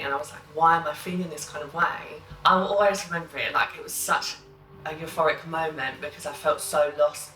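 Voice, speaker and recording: Low flat woman. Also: slight background noise. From East London. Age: 20s. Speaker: interviewee. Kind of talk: documentary interview. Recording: roomy, distant mic.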